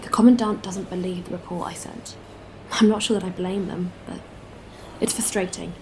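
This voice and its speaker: A woman's voice: lowish voice, steady tone, moderate pace, a little mellow, slight background noise, natural voice, low-quality recording. A woman playing a candid, friendly spacefarer in a sci-fi audio drama, talking in a casual, conversational way. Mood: frustrated. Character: candid, weary but friendly female spacefarer.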